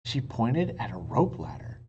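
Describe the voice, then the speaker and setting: A woman's voice: extremely low pitch, sing-song, measured pace, warm tone. A woman reading a children's storybook aloud expressively, like a teacher addressing young pupils. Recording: muffled sound with faint noise.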